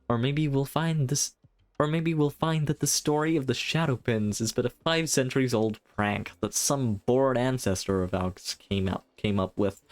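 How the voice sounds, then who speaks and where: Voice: mid-range voice, no echo, lightly acted. A streamer reading a hesitant, nervous guardian. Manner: hesitant, apologetic. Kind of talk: game dialogue read aloud.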